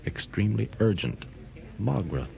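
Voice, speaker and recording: An actor playing a steady, heroic lord who speaks calmly, firmly and plainly, in 1930s radio acting on a dull old recording. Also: male voice, fairly low pitch, moderate pace, vocal fry, exaggerated voice. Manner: calm, firm, plain-spoken. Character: steady heroic jungle lord in evening dress. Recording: dull, faintly hissy 1930s transcription.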